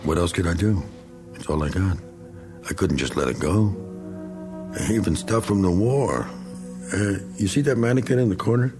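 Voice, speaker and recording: An actor playing a bitter, self-pitying middle-aged American war veteran in a radio drama, talking in a folksy, rambling, needling way. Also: male voice, low-pitched, normal speed, long pauses, over music, natural voice. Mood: nostalgic, self-pitying.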